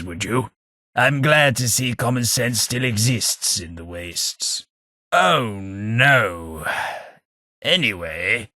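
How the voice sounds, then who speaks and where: An actor playing a sardonic, well-spoken tithe collector in a video game, with a husky, understated delivery and dry humour. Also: male voice, fairly low pitch, bright, forceful tone, no room sound, exaggerated voice. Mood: wry. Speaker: game companion character. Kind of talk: game voice lines.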